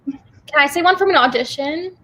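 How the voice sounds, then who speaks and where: High, bright-voiced woman guest. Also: fairly quick, rough recording. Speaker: guest from a theater company. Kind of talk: casual chat with halting pauses.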